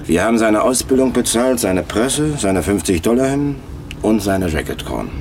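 A hard male gangster go-between in a radio drama. His voice is deep and dry, coolly persuasive, with car and street noise clearly behind him. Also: animated, normal speed. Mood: cool, pressing. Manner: cool, pressing, dry. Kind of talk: German radio crime drama acting. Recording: loud car and street ambience.

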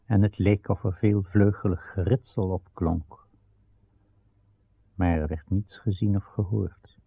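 A low-voiced man tells an old legend as a radio play narrator, slow and grave. His voice is husky with a gravelly edge. The recording is muffled and narrow. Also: steady tone, dry recording. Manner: grave, measured, dramatic pauses. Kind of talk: solemn literary storytelling of Arthurian legend.

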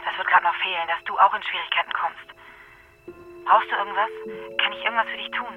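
A woman's voice: mid-range voice, steady tone, fast. A woman playing a caring, anxious helper in a radio drama, solicitous, heard through a telephone filter. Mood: concerned. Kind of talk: naturalistic radio drama acting. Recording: dull phone line, faint music.

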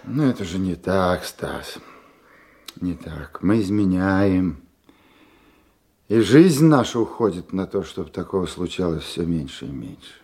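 An actor playing a seasoned older police chief in a Russian radio drama, a fatherly mentor who speaks in a measured, earnest, reassuring way. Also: low voice, expressive, a little mellow.

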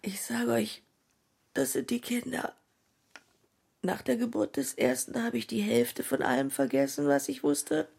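An actress playing a candid, giggly middle-aged mother of ten in a radio play, confessional and self-mocking in a husky voice. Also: sits low, very animated, measured pace, a little mellow, full character voice. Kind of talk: naturalistic radio play dialogue.

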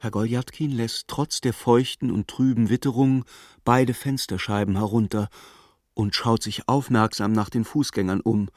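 A man's voice: mid-range voice, fairly even intonation, moderate pace, darkish tone, no room sound, clean studio sound. A man narrating a radio play in scripted, literary present-tense prose. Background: music.

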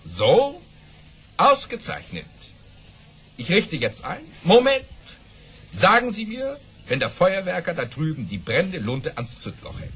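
An actor playing a boastful baron who tells tall tales in a grandiose, theatrical audio play style. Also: male voice, high-pitched, wildly swooping pitch, unhurried, a bit bright, slight background noise, heavily acted. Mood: cocky, commanding.

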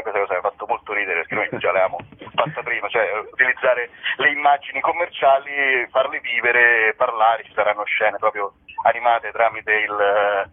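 A man chats casually about his stage work as a comedy writer. His delivery is hesitant and meandering, with a dry wit. The voice comes through a narrow phone line, as in a radio call-in. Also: on the low side. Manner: hesitant, wry, rambling. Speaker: theatre comedian and writer presenting a show. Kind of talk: casual promotional interview. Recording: narrow-band telephone line, radio interview.

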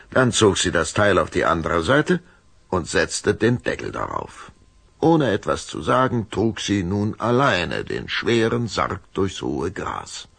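A man narrating a scripted children's audio drama in a dramatic, expressive storytelling manner. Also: a bass voice, vocal fry, slightly rough, dry recording.

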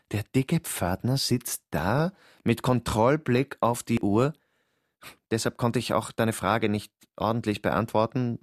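A man's voice: fairly low pitch, no room sound, studio quality, lightly acted. An actor playing a young theatre worker who narrates his letters and questions everything, in a radio drama. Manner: questioning, doubtful.

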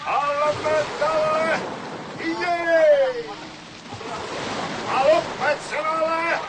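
An actor playing a rousing leader of a rope-hauling work chant, with drawn-out shouts, in an audio drama. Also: male voice, very high-pitched, full character voice. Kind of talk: Dutch radio drama acting. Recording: loud crowd and ship noise.

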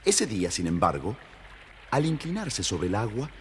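A man narrating a scripted children's story in a theatrical, expressive way. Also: on the low side, normal speed, in a large hall, slight background noise.

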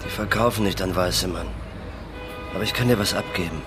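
An actor playing a laid-back, scheming young Brooklyn hustler in a radio drama, slangy and persuasive. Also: male voice, low voice, a little fast, music in the background, lightly acted. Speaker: supporting character in a radio crime drama. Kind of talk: German radio drama dialogue.

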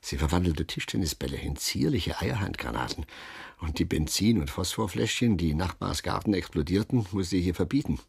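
Husky, low-voiced, world-weary middle-aged man. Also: animated, darkish tone, exaggerated voice. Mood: dry, rueful. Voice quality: husky, gravelly. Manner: wry, halting, confiding storytelling. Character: middle-aged former lawyer turned novelist, wry, self-deprecating, world-weary. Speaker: narrator and protagonist. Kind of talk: German radio drama monologue.

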